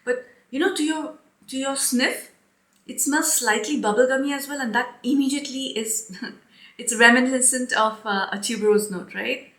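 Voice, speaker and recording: Chatty young woman, slightly hoarse and stuffy, pitched a touch high, recorded in an echoey room. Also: fairly bright tone. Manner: chatty, rambling, laughs often. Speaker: perfume reviewer. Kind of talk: casual chat to camera.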